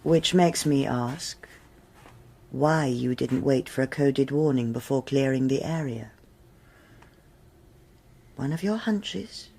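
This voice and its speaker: A woman playing a crisp, commanding police superintendent in a British radio crime drama, formal and measured. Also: extremely low pitch, mellow, exaggerated voice. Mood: stern, controlled.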